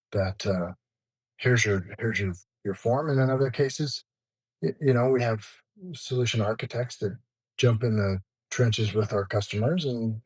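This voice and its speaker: A man's voice: mid-range voice, slightly rough, no room sound, rough recording. Man explaining in a dry, close recording. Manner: informal, explanatory, listing points. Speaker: interview guest, information security executive. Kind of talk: conversational expert explanation.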